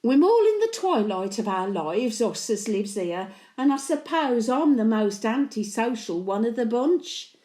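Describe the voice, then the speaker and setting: A woman's voice: fairly high pitch, sing-song, unhurried, dark tone, echoey room. A woman playing a blunt, antisocial elderly Birmingham widow, telling chatty stories in local dialect as a dramatic monologue. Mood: wistful. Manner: blunt, grumbling, colloquial dialect. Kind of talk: dramatic monologue, chatty storytelling to late husband.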